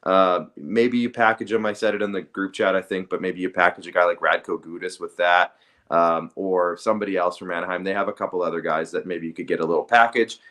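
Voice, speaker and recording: A man's voice: deep voice, steady tone, fairly quick, fairly bright tone. A man co-hosting a sports podcast, talking casually and conversationally with some hedging. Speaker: hockey podcast co-host.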